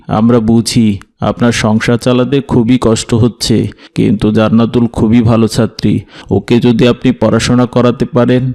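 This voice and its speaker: Cartoon benefactor, male actor: flat intonation, medium pitch, pious, measured, earnest. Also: creaky, close to an everyday voice. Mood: encouraging. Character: generous, pious adult man, a kindly benefactor. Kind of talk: scripted cartoon dialogue.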